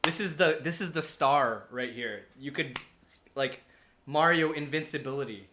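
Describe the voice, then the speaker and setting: A man co-hosting a podcast, chatting casually with a halting delivery full of fillers. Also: fairly high pitch, bright, forceful tone, a little hiss or hum. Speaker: podcast co-host. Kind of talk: casual chat.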